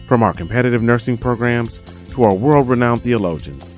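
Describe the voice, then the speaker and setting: A man's voice: medium pitch, a little fast. A man reading a scripted promotional podcast introduction as an announcer. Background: soft plucked guitar music.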